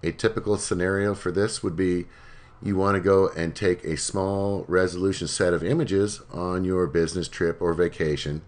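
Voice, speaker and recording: A man presenting a screencast software tutorial, giving plain step-by-step instructions in a voice-over. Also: low-pitched, steady tone, normal speed.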